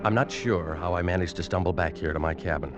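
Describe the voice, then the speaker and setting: Male actor as a low-voiced, haunted ship's officer. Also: quick pace, highs cut off, loud music behind the voice, lightly acted. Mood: urgent, grave. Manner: grave, urgent, suspenseful. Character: weakened, frightened ship's officer recounting a night of terror. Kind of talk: 1940s radio drama acting and narration. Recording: old radio drama recording.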